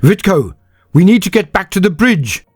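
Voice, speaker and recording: A man voicing a determined female ship's officer who shouts urgently over the battle. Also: a little high, lively intonation, moderate pace, slightly rough, no room sound, faint music behind, voice pushed up.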